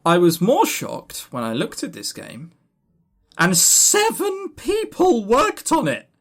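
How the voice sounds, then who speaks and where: A man chats casually as a podcast co-host giving reviews. His pitch swings in a lively way, and he is dry and critical, often stumbling over the first word and starting it again. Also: slow pace.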